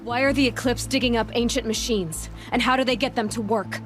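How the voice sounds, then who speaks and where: A woman's voice: fairly high pitch, a little fast, music in the background, natural voice. An actress voicing a determined young woman hunter who asks direct, probing questions, in a video game.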